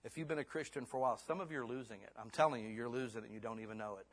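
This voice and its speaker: Husky-voiced pastor in his fifties. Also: mid-range voice, fairly even intonation, racing. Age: 50s. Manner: swings between hushed and fervent. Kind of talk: sermon ending in prayer. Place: church.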